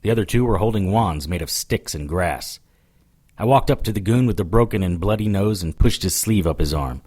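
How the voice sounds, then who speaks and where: Low-voiced wry hardboiled investigator. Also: male voice, lively intonation, brisk, a little mellow, husky. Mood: hardboiled narrating. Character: tough wry male investigator, narrating his own brawls and adventures. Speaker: lead actor and narrator. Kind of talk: audio drama, hardboiled first-person narration and dialogue.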